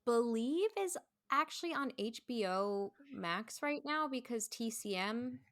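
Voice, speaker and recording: A woman's voice: on the high side, lively intonation, a little slow, a bit bright, no echo, studio quality. A woman chatting casually as a guest, very informal and conversational. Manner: informal, conversational, heavy use of "like". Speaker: guest, stage performer. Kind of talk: casual chat.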